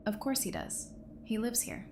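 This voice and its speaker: A woman's voice: fairly high pitch, normal speed, warm tone, lightly acted. An actress playing a guarded, no-nonsense woman doctor in a post-apocalyptic audio drama, speaking in a firm, dry, matter-of-fact way. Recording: faint background noise.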